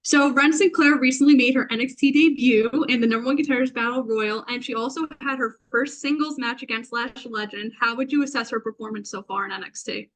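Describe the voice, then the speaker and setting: A woman's voice: on the high side, fairly quick, few pauses, poor audio. A woman reporter asking a prepared question on a press conference call.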